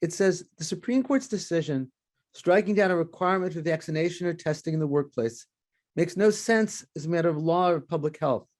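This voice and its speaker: A man on a panel, his pitch slightly high. He reads aloud from a piece he wrote in a firm, measured way. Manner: reading out his own written piece. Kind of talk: panel remarks.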